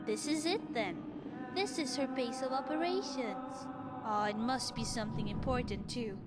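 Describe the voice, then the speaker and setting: Woman as a high-voiced, plucky Russian-accented boy. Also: normal speed, lightly acted. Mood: curious. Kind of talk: video game character dialogue. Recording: loud music under the voice.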